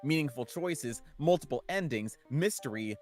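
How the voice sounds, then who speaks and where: A man's voice: mid-range voice, animated, moderate pace, soft background music. A man chatting casually as a guest co-host on a livestream.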